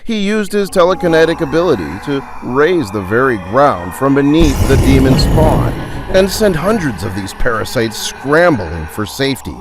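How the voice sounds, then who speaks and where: A man's voice: medium pitch, very animated, moderate pace, few pauses. A man narrating a scripted fantasy adventure as a theatrical, suspenseful storyteller. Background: background music.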